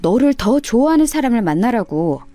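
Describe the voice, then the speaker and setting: A woman's voice: a little high, big pitch swings, fairly quick, mellow, no echo, faint music behind. A woman voicing a worried, exasperated older sister, scolding and firmly persuading in story dialogue.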